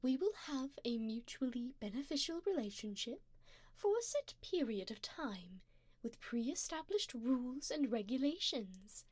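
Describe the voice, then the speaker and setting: Woman doing an ancient vampire sugar mommy, asmr roleplay, breathy whispers, kinda high voice, real slow, doting and seductive. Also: very animated, a little mellow, no echo, exaggerated voice. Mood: composed, persuasive. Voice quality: breathy, often dropping into whispers. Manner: slow, intimate, formal and coaxing. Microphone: close binaural microphone.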